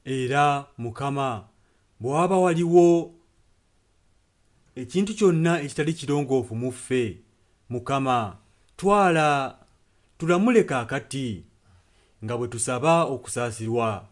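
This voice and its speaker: A male interpreter delivers a sermon and prayer in short phrases. His voice sits at an average pitch for a man. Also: lively intonation, measured pace, long pauses.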